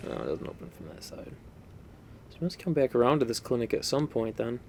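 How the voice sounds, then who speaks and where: A man's voice: mid-range voice, sing-song, fairly quick, creaky, darkish tone, a little hiss or hum. A man giving casual, rambling, unscripted commentary while playing a video game. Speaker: gaming video host.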